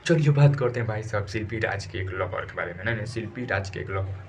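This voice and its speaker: A man's voice: fairly low pitch, fast, noisy background. A man with a Bhojpuri accent presenting informal gossip news commentary in an emphatic, repetitive, scolding colloquial way.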